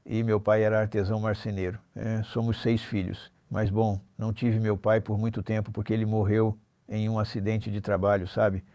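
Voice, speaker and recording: Man, 70s, interview guest recounting his past. Low-pitched, mellow, colloquial and blunt. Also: little pitch movement, normal speed, few pauses, no room sound. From Normandy, France. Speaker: interview guest, former armed robber. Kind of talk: conversational life-story recounting.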